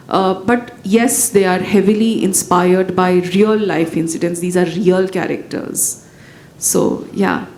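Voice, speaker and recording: A woman writer whose first language is Bhojpuri speaks on a panel in an echoey hall. She answers thoughtfully, with many fillers and restarts. A faint rumble sits low behind her voice. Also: a little mellow. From India. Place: event hall with audience.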